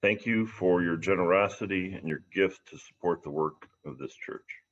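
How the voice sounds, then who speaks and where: Low-voiced man leading a church service. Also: moderate pace, muffled recording. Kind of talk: reading and announcing at a livestreamed service.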